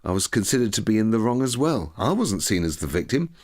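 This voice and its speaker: A man's voice: on the low side, big pitch swings, quick pace, a little mellow, pitched above the performer's own voice. A man voicing a reflective, plain-spoken man giving earnest personal testimony in an audiobook. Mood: sober, candid.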